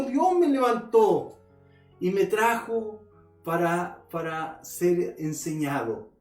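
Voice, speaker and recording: Slow, high-voiced male pastor preaching. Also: far from the mic. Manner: earnest, addresses listeners directly, reads scripture aloud. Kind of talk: sermon. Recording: roomy echo.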